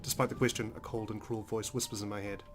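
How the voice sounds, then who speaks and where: A man's voice: fairly low pitch, little pitch movement, fast. A man hosting a let's play, reading visual novel narration aloud dramatically with casual, emotionally involved comments. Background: game music.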